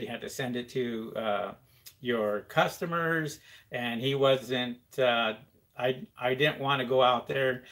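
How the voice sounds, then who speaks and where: A man with a voice of ordinary pitch hosts a live stream from home. He talks loosely and at length, with plenty of fillers and restarts, and stops to read viewers' comments out loud. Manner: rambling, reads viewer comments aloud, frequent fillers. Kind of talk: casual live-stream chat.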